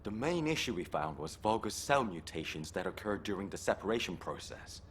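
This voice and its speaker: A man's voice: sits low, lively intonation, a little fast, a bit bright. A man playing an earnest, hopeful scientist in a video game, explaining technical matters persuasively. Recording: game audio with faint noise behind.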